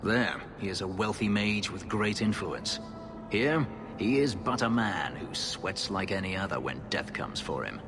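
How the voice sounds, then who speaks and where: Brooding elf warrior, very deep voice, formal, terse, game music behind. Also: male voice, lively intonation, measured pace. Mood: grave. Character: brooding male elf warrior, escaped slave. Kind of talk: video game dialogue. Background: game score.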